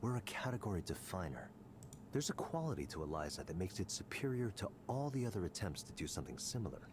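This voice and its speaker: An actor playing a smug, calculating tech executive in a video game, speaking in a smooth, condescending, deliberate way. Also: male voice, deep voice, very animated, background noise. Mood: smug, boastful. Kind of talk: scripted visual novel dialogue.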